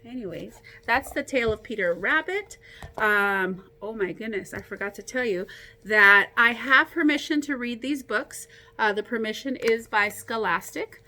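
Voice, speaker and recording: A woman teacher reading a story aloud to children, expressive and encouraging, with casual asides to her young listeners. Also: on the high side, moderate pace, slight background noise.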